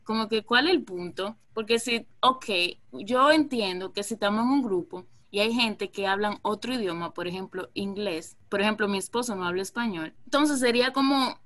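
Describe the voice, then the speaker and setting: A woman's voice: mid-range voice, moderate pace, hardly any pauses, fairly bright tone. A woman with a Dominican accent telling a story in casual chat.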